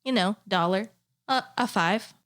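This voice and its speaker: A woman in her thirties co-hosts a casual podcast. She fires back with dry, unimpressed comebacks. Her voice sits in a typical middle pitch. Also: unhurried, fairly bright tone. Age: 30s. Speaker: podcast co-host.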